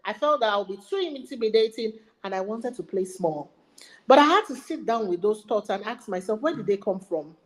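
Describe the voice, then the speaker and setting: A woman's voice: fairly high pitch, rough recording. Emphatic Nigerian woman motivational speaker. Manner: animated and emphatic, repeats key phrases. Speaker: learning and development speaker. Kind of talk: motivational webinar talk.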